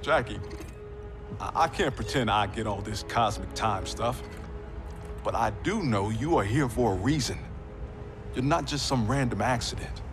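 An actor with an American accent playing an earnest young soldier in a video game, sincere and conversational. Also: male voice, medium pitch, animated, moderate pace, pauses often, lightly acted. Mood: reassuring. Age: young adult. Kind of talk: naturalistic video game acting. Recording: music behind.

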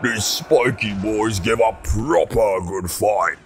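A man voicing a rowdy, excitable fight spectator, exuberant and shouting, in game character style. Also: fairly low pitch, slow, crowd noise, pitched above the performer's own voice. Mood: excited.

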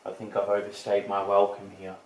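A man's voice: fairly low pitch, monotone, a little fast. A man in his thirties narrating a ghost-hunting vlog on the spot and calling out to spirits as a paranormal investigator. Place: inside an empty, derelict house. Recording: noisy handheld camera audio with clicks.

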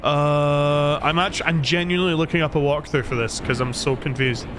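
A man's voice: fairly high pitch, steady tone, moderate pace. A Scottish man streaming a video game, chatting casually and self-deprecatingly to his viewers as he plays. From Scotland. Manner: rambling, self-deprecating, talks to chat while playing. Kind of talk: casual gameplay livestream chat. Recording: noticeable background noise.

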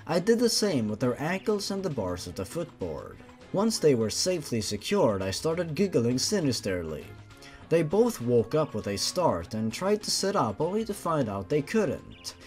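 A man's voice: fairly high pitch, very animated, moderate pace, darkish tone, faint music behind. A man hosting a dramatic read-aloud of a horror story as its narrator. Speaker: host reading a fan horror story aloud.

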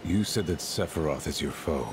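An actor playing a brooding, mysterious man in a video game, speaking in grave, clipped, deadpan phrases. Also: very deep voice, moderate pace, background noise. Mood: cold, brooding. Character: brooding, terse, mysterious male gunman.

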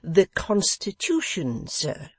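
A reader voicing a pompous, condescending English gentleman host who talks slowly, loudly and overenunciates as if to a deaf child. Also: high voice, sing-song, no echo, voice pushed up, heavily acted.

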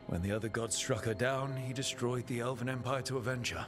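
A man's voice: mid-range voice, a little fast, over music, lightly acted. A man playing an earnest, plain-spoken adventurer in a fantasy video game. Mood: grave. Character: earnest, plain-spoken adventurer hero, fair-minded male.

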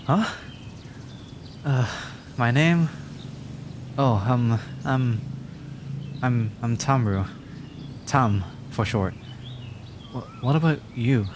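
A man acts out a young male dragon, injured, timid and awkwardly endearing. He speaks slowly at a middling pitch, stammering and rambling in hesitant bursts. It is a fantasy roleplay audio with some background noise. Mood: shy, curious. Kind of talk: roleplay ASMR audio drama.

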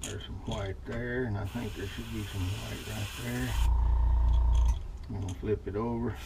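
A middle-aged man giving a relaxed, folksy running commentary as he demonstrates a hands-on craft at his workshop bench. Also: on the low side, measured pace, creaky. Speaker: lure painter demonstrating. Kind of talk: casual how-to demonstration. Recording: noisy workshop with airbrush spray.

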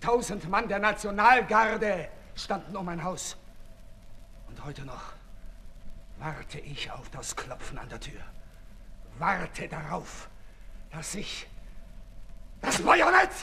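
A man's voice: high voice, very animated, slow, gravelly, long pauses, sharp tone, slightly rough, full character voice. A man playing an ailing, embittered revolutionary in a 1960s German radio drama, declaiming with intensity. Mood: haunted. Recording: echoey hall, noisy 1960s radio recording.

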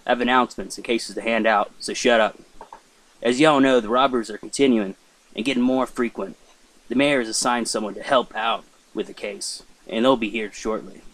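A man's voice: mid-range voice, fairly even intonation, fairly quick, a bit bright, close to an everyday voice, poor audio. A man playing a no-nonsense police chief in an amateur roleplay, curt and commanding. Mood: stern. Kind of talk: amateur roleplay audio drama.

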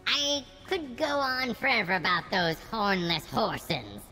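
A man voicing a gruff old male dwarf mining chief with a folksy brogue, saying aye and lad. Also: high-pitched, sing-song, unhurried, sharp tone, long reverb tail, faint music behind, pitched above the performer's own voice, full character voice. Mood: grumbling.

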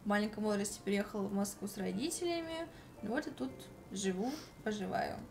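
A woman's voice: mid-range voice, expressive, a little fast, gravelly, background noise. A young woman co-hosting a stream from a living room, reading chat and reacting in an upbeat way. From Russia. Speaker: stream co-host, reads chat. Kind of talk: casual chat.

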